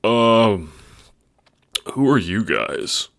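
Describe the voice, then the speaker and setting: A man's voice: fairly low pitch, lively intonation, drawn-out words, gravelly, a bit bright, pitched above the performer's own voice, heavily acted. A man voicing a groggy, bewildered young man who mumbles, in an audio drama. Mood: confused.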